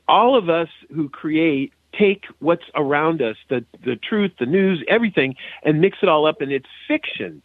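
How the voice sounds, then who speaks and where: Male podcast guest, mid-pitched, conversational. Sounds like he is on a narrow, tinny phone connection. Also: no echo. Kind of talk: casual, conversational podcast chat. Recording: tinny, phone-like remote call audio.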